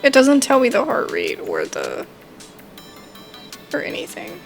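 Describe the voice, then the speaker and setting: A woman's voice: high-pitched, huge pitch swings, measured pace, creaky, over loud music. A woman doing casual let's-play commentary on a game, musing aloud as she puzzles things out. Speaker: gamer playing a horror visual novel demo.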